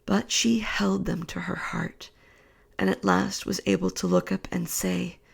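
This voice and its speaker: Woman narrator, soft whispered bedtime reading, husky, very slow. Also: on the low side, level tone. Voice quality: breathy whisper. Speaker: storyteller.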